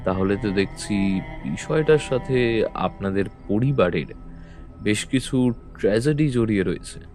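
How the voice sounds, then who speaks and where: A man playing a calm, probing detective who questions people in a measured, firm way. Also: lowish voice. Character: calm probing male detective, middle-aged. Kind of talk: audio drama acting. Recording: faint background music.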